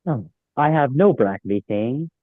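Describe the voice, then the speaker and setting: A man acting a sarcastic, wisecracking young man with deadpan delivery in a sitcom table read. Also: mid-range voice, normal speed, no echo, lightly acted. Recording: dull, lo-fi, dry microphone.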